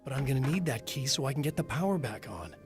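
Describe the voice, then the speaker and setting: A man's voice: medium pitch, lively intonation, fast talker, lightly acted. An actor playing a weary, guarded male hero in a thriller game, speaking in a plain, businesslike way. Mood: guarded, awkward. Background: music.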